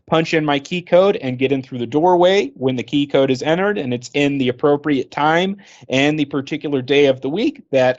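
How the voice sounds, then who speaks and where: Man, mid-range voice, product trainer, informal instructional explanation, conversational, everyday examples, slightly dull band-limited recording. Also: mid-range voice.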